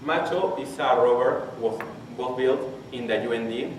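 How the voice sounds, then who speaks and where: A man in his twenties from Peru with a Spanish accent, his first language, giving a technical conference talk and haltingly restarting phrases as he searches for English words. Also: medium pitch. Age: 20s. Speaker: conference presenter. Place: large conference hall. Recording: very echoey hall, distant mic, faint hiss.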